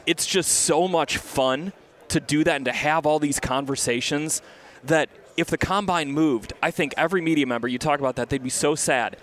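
A man co-hosting a sports media show outdoors, chatty and storytelling, his voice slightly hoarse. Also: a little high, moderate pace, fairly bright tone. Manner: chatty, storytelling, restarts often. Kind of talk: casual radio-style chat. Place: outdoors at a city event.

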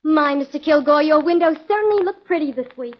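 A woman's voice: high voice, lively intonation, fairly quick. A woman acting the part of a department store employee in a 1940s radio drama. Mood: chatty. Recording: muffled, hissy 1940s radio recording with clicks.

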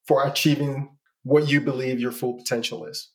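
A man's voice: mid-range voice, level tone, normal speed. A man in his forties from Ghana, telling his life story as a guest in a casual interview and often repeating words.

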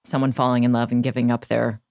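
A woman's voice: rumbling low, flat delivery, quick pace, gravelly, a little mellow, no room sound, studio quality. A woman hosting a podcast in a conversational interview style. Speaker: podcast host.

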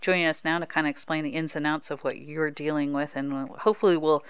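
A woman's voice: deep voice, steady tone, fast talker, clean tone, dry recording, dull audio. A woman with an American accent, hosting a sports podcast and talking casually as a reporter. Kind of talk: casual conversational sports talk.

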